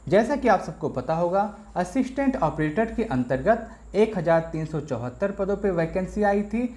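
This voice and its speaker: A man's voice: sits high, animated, moderate pace, darkish tone. A man hosting an exam-prep explainer video, addressing viewers directly as friends and walking through worked examples step by step, often repeating himself.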